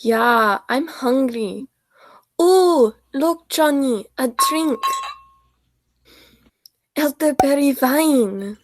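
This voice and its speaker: A meek crook's sidekick, a doctor acted by a teenage girl in a radio play. He talks very slowly in a high voice, with noise on the recording. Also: animated, exaggerated voice. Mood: meek, eager. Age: teens. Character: meek, hungry male doctor, criminal's sidekick. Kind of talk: school radio play acting.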